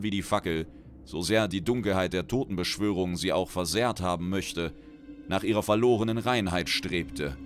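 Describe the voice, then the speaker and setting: A man reading a fantasy audiobook as a dramatic scripted narration. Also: low-pitched. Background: background music.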